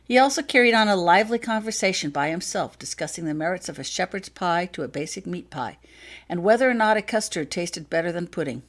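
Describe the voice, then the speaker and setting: A woman reading her own story aloud as an expressive scripted narration. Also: fairly low pitch, brisk. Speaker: author reading own fan fiction.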